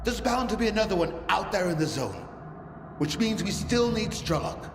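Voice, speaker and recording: An actor playing a taunting, zealous cult leader in a video game cutscene, preaching and mocking in a theatrical way. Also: male voice, sits high, very animated, normal speed, noisy background. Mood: smug, coaxing. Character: zealous, taunting male cult leader of a post-apocalyptic zone. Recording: echoey hall, music behind.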